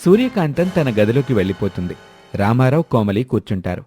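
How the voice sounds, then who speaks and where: A man's voice: medium pitch, sing-song, fairly quick, dark tone, faint music behind. A man narrating a scripted moral tale in a storybook style.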